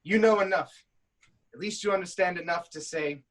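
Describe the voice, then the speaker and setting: A man's voice: sits high, moderate pace, fairly bright tone. A man playing an angry, suspicious ancient Greek king in a declamatory, accusing stage tragedy reading. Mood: suspicious, interrogating.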